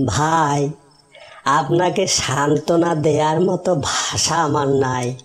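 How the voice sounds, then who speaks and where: A woman's voice: low voice, takes it slowly. A woman voicing a polite, sympathetic village man with a respectful, earnest manner in a cartoon story. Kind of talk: cartoon moral story dialogue.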